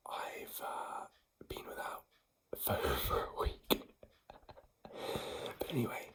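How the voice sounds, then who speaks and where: A man's voice: sharp tone, poor audio. Whispering man who has lost his voice. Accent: American-sounding. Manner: whispered, rambling with many fillers. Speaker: vlogger and singer-songwriter. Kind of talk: casual vlog chat. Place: in a home, indoors.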